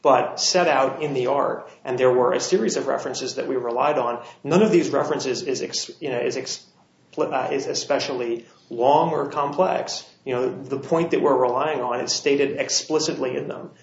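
A man's voice: mid-range voice, gravelly. Man, slightly scratchy voice, courtroom argument, many "uh" fillers, dull roomy recording. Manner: measured, frequent "uh" fillers and restarts. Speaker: appellate attorney. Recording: dull, roomy courtroom audio with faint hiss.

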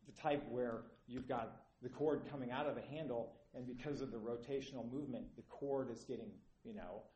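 A man arguing an appeal as attorney in court, earnest and halting with many fillers, sounding tired. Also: medium pitch, steady tone, mellow. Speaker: appellant's attorney. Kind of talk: courtroom oral argument. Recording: echoey courtroom.

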